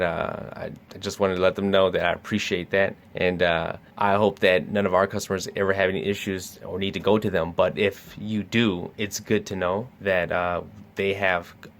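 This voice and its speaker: A man's voice: low voice, a bit bright, a little hiss or hum. A man casually talking through product features as a store salesperson, with many uh and um fillers. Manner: easygoing, lots of uh and um fillers. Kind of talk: casual product demo talk.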